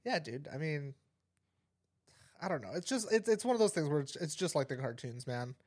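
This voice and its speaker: A man's voice: a little high, expressive, brisk, darkish tone. Laid-back, dry-witted podcast co-host man. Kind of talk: casual chat.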